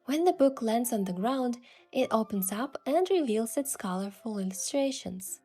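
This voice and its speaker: A woman voicing a curious young adventurer heroine, telling the story in the first person with earnest, expressive delivery. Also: fairly high pitch, moderate pace, slightly rough. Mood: narrating, gentle. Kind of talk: scripted first-person narration and dialogue. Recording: music behind the voice.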